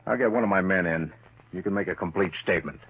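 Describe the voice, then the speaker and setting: A man's voice: low voice, quick pace, very noisy. An actor playing a shrewd, confident government lawman in an old-time radio crime drama, pressing his point assertively. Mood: coolly triumphant. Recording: muffled, narrow-band old radio recording.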